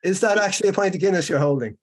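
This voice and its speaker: A man hosting an interview show, reading a measured introduction and asking questions. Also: sits high. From Ireland. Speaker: podcast host.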